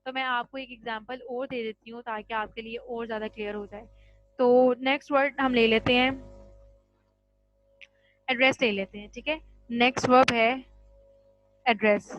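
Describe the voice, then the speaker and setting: A woman teaching an English grammar class online, explaining slowly and checking in with "ठीक है" after each point. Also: a little high, steady tone, soft background music. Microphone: computer mic on a video call. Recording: dull, dry video-call audio.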